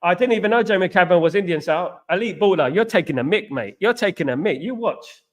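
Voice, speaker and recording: A man's voice: sits high, animated, quick pace. A man hosting a live football fan stream, chatting casually, reading viewer comments and repeating himself. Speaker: host. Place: China.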